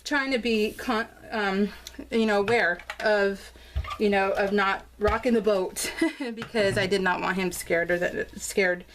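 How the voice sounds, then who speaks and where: A woman's voice: mid-range voice, steady tone, unhurried, fairly bright tone, a little hiss or hum. An American woman chatting casually and unscripted as a crafting vlogger, with a bubbly, gushing, rambling manner. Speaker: crafting vlogger showing scrapbook pages.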